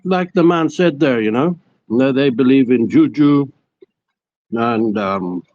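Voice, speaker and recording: A man's voice: mid-range voice, a little mellow, dry recording. A man phones into a live stream, stumbling over his words as he defends himself. His voice sits in an ordinary register, and the call audio comes through muffled and dead-dry. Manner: defensive, stammering. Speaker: caller. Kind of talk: call-in argument.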